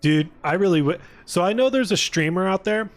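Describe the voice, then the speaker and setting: A man's voice: high-pitched, lively intonation, fairly quick, faint music behind. A man giving casual, rambling gaming stream commentary, thinking aloud and joking as he plays. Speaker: video game livestreamer.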